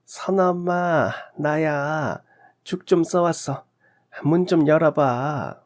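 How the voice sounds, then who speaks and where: Radio drama neighbour lady, middle-aged, motherly and fussing, lifted pitch male performer. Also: slow. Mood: calling out. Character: kindly, caring middle-aged neighbour woman.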